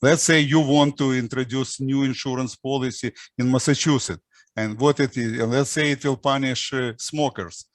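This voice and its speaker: A man moderating a technical discussion, putting questions and examples to the speakers in halting phrases. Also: medium pitch, steady tone, no room sound. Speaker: session moderator.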